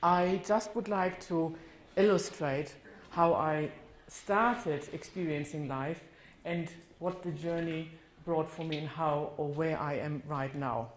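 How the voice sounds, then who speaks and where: A woman's voice: low-pitched, fairly even intonation, slow pace, a little hiss or hum. A woman from northern Germany with a German accent, giving a candid, reflective talk about her life at a church, correcting herself now and then. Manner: candid, reflective storytelling with occasional self-corrections. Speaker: guest speaker. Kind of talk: personal testimony talk. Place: church hall. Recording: roomy church hall sound.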